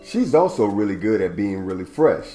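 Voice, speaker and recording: Deep, animated man reading a picture book. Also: normal speed, soft background music. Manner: animated, playful, stretches words for young listeners. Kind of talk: children's read-aloud. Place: indoor room at home.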